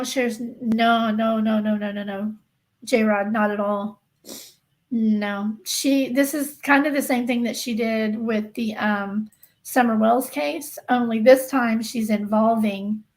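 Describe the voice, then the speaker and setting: A woman with a slightly high voice chats casually as the host of a livestream. She speaks directly to her viewers in an emphatic, insistent way, often restating a point to stress it. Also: low-quality recording. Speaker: livestream host of a true-crime gossip channel.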